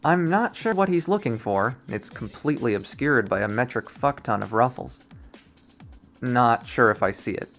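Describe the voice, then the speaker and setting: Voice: medium pitch, lively intonation, moderate pace, fairly bright tone, faint music behind. A reader narrating a visual novel aloud in a dry, wry way. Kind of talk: dramatic read-aloud narration.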